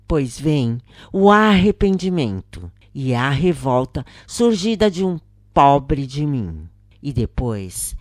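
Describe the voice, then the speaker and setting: A woman with a Brazilian Portuguese accent giving a solemn, dramatic first-person narration in an expressive, deliberate audiobook style. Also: extremely low pitch, dry recording, studio quality.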